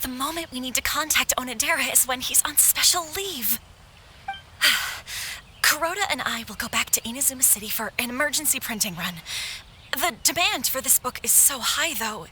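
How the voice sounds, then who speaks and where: A woman's voice: high voice, lively intonation, normal speed, bright, forceful tone, slight background noise, full character voice. An actress playing a stressed, weary female publishing editor in a video game. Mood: exasperated, worried.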